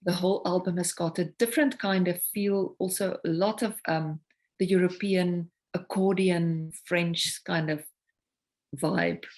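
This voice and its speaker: A woman from South Africa whose first language is Afrikaans, speaking English with a South African accent. She is a singer-songwriter guest talking about her work in a reflective, unhurried way, often pausing to search for words. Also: on the low side, fairly even intonation, a little mellow. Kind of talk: casual interview conversation.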